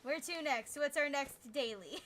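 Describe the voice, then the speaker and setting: A woman streaming a game, chatting casually with an energetic, naturally loud manner. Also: sits high, measured pace, a little hiss or hum. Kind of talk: casual gaming stream chat. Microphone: desk mic, peaking.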